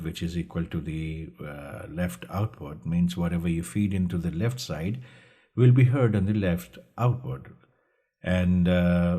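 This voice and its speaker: Low, husky man explaining step by step. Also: warm tone. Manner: halting, step-by-step explaining with repetition. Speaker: instructor presenting a DIY electronics project. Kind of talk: explanatory tutorial narration over slides.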